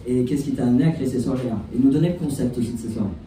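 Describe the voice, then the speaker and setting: A man's voice: medium pitch, rapid-fire, warm tone, a little hiss or hum. A man moderating a panel, asking long rambling questions with fillers. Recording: echoey room, distant mic.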